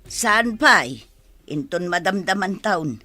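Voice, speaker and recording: A woman playing a kindly, chatty village grandmother in a radio drama, with an expressive delivery. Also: lowish voice, takes it slowly, faint music behind, full character voice. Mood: obliging. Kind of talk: Ilocano radio drama acting.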